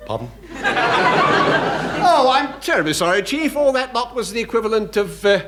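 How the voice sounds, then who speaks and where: An actor playing a languid, dryly mocking naval officer in a radio sitcom. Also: male voice, very high voice, very animated, unhurried, pushed and bright, heavily acted. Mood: brisk, flippant. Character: languid, flippant upper-class British naval officer. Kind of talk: British radio sitcom acting.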